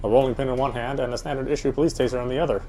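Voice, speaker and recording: A man's voice: medium pitch, steady tone, very fast, dark tone, faint music behind. A man reading a horror visual novel aloud as first-person narrator, with a dramatic, tense delivery.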